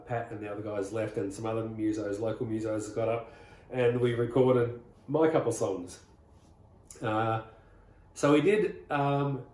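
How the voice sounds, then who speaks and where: Middle-aged Aussie male guest, rambling and relaxed storyteller, echoey room. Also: medium pitch. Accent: Australian. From Australia. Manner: rambling, relaxed, many "uh"s and restarts. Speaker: rockabilly singer, guest. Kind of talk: casual interview storytelling.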